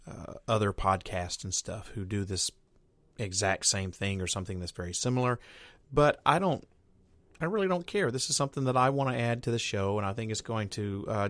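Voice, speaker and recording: Guy rambling thru his podcast intro, lots of uhs, kinda low voice, dead dry room. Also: fairly bright tone, no echo. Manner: rambling, with many fillers and restarts. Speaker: podcast host. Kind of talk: casual podcast monologue.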